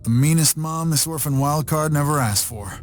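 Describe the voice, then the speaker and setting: A man's voice: fairly high pitch, moderate pace, music in the background, natural voice. An actor playing a wry, blunt young male ranger hero in a video game, narrating and swearing freely.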